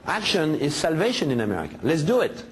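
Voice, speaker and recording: A man's voice: a little high, big pitch swings, fast, a little hiss or hum. A man speaking in a lecture style, making emphatic points and often asking rhetorical questions. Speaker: guest speaker in a played clip.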